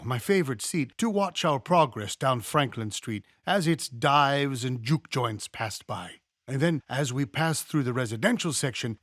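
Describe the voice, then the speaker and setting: A man reading a nostalgic memoir aloud as scripted narration, in a measured, expressive storytelling manner. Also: fairly high pitch, no room sound, studio quality. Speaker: audiobook-style reader of a memoir.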